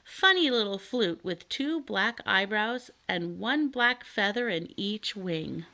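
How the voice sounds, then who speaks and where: A woman's voice: mid-range voice, expressive, slow pace, pushed and bright. A woman reading a children's chapter book aloud as a scripted narration. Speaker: storybook reader.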